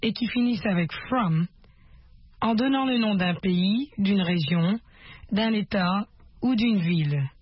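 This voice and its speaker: Female instructor, slightly raspy voice, measured teaching narration, dry muffled recording. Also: mid-range voice, no echo. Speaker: language-lesson presenter. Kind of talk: scripted instruction.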